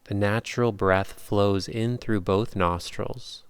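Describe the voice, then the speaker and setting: A man leading a guided yoga nidra meditation in calm, measured, unhurried instructions with long pauses. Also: on the low side, smooth, no room sound.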